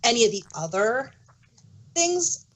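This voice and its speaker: A woman's voice: fairly high pitch, sing-song, measured pace, fairly bright tone, rough recording. A woman co-hosting a casual fan discussion, explaining things in a hesitant way and often correcting herself mid-thought. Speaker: podcast co-host.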